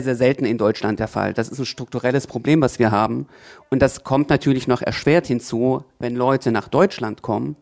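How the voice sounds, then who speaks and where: Male language teacher on a panel, mid-pitched, thoughtful and explanatory. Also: a little mellow. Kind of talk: panel discussion.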